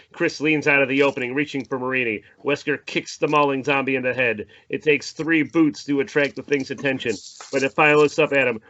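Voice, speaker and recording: A man's voice: mid-range voice, fairly bright tone, lo-fi sound, a little hiss or hum. A man reading stage directions as narrator in a scripted podcast table read.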